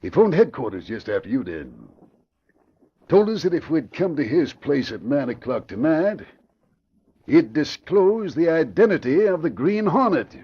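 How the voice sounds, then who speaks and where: Husky, animated police inspector. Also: male voice, fairly high pitch, normal speed. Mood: brisk, confident. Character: brisk, blustery male police inspector. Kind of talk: 1940s radio drama acting. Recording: muffled old radio recording.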